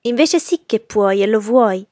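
A woman's voice: a little high, normal speed, warm tone, dry recording, studio quality, pitched above the performer's own voice, lightly acted. A woman voicing an arrogant, spoiled young lady with an impatient, commanding manner, in an audiobook story. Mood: haughty, impatient. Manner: haughty, commanding.

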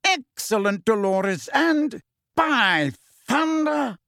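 Audiobook role: older male minister acted by a man, blustering and excitable, high shift in pitch, repeats and stammers. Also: takes it slowly, husky, dry recording, clean studio sound, heavily acted. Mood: delighted. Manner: exclaiming, stammering, repeating words. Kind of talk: audiobook character voice.

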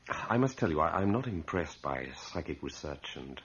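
A man's voice: very deep voice, big pitch swings, moderate pace, husky, exaggerated voice. A man acting in an old radio horror drama as a brash, broke young man, sceptical yet uneasy, polite and given to hesitant stammering. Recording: dull lo-fi old radio recording.

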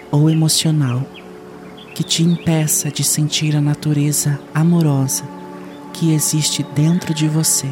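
A man leading a guided meditation in a soothing, unhurried way with long pauses. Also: on the high side.

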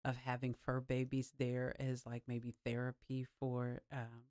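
A woman's voice: very deep voice, monotone, a little slow, a little mellow. A woman from the United States hosting an interview, affirming her guest often and talking in long winding turns. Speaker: interview host.

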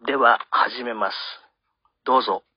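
A man's voice: medium pitch, normal speed, slightly rough. A man hosting and narrating on a radio program, presenting in a scripted style. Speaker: radio host and drama narrator. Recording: dull lo-fi radio sound, faint music.

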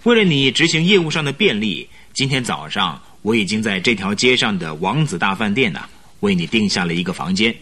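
A company director in a radio play, loud and energetic. His husky voice is bright and forceful, swinging widely in pitch as he persuades and patronizes with scheming heartiness. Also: a little fast, exaggerated voice. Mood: brisk, instructing. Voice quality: husky, robust. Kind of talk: Mandarin radio drama acting.

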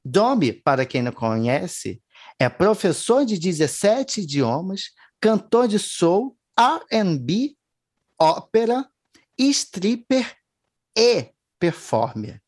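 Male host, theatrical, joking, quick to apologize. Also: sits high, big pitch swings, darkish tone. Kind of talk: playful talk show hosting.

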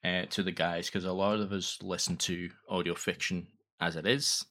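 A man being interviewed as a guest, talking casually in an earnest, halting way and often repeating words. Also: sits low, little pitch movement, sharp tone. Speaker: podcast guest, audio drama creator.

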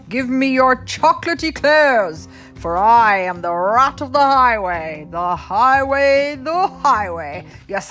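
Woman putting on a booming greedy Rat of the Highway. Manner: booming, gloating, drawn-out chant.

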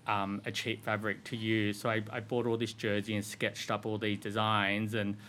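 An Australian man in his thirties talks through his answers in a bright, lower-pitched voice. He rambles loosely, leaning on filler words, in relaxed interview chat. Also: normal speed, a little hiss or hum. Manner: rambling, filler-heavy with frequent "like" and "um". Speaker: fashion designer guest.

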